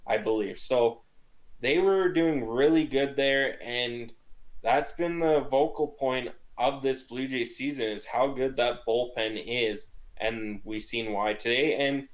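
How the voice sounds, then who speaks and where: A young man on a gaming headset talking through a baseball game recap from a small room at home, in quick, lively conversational analysis. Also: medium pitch, poor audio. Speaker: baseball podcast co-host. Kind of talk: casual post-game recap.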